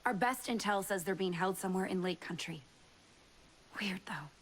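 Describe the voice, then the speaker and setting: Woman playing serious resistance fighter, normal pitch, matter of fact, video game dialogue. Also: normal speed, slight background noise, lightly acted. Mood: grim. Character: serious female rebel fighter reporting intelligence. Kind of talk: video game cutscene acting.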